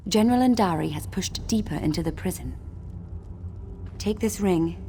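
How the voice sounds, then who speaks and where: A courtly, sorrowful imprisoned spirit. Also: female voice, fairly low pitch, lively intonation, mellow, a little hiss or hum. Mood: earnest, urgent. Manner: formal, measured, archaic phrasing. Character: long-imprisoned lonely female spirit, sorrowful, courtly, desperate for freedom. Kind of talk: dramatic fantasy game voice acting. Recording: music behind the voice. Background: game music.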